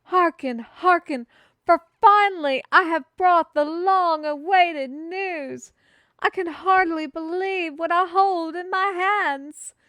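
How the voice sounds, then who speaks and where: A woman playing an excitable, earnest kingdom messenger in a fantasy audio roleplay, with theatrical proclaiming and formal old-fashioned wording. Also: extremely high pitch, slow pace, no room sound, voice pushed up, exaggerated voice. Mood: excited, proclaiming. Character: excitable, earnest female kingdom messenger bearing a food prophecy. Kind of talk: fantasy audio roleplay, speaking to one listener.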